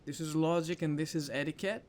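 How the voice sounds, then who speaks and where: A male gamer commentates casually as he plays, thinking aloud in an informal way. His voice sits a little high, with faint noise behind it. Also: fairly even intonation, a little fast, a little mellow. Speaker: gamer playing through a game. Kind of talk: casual let's play commentary.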